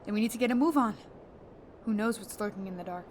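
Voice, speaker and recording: Actress as stern, wounded female captain, guarded, mid-range voice, faint rumble underneath. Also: quick pace, natural voice. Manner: guarded, terse, suspicious. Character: wary, injured, stern woman spaceship captain. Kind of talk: audio drama acting.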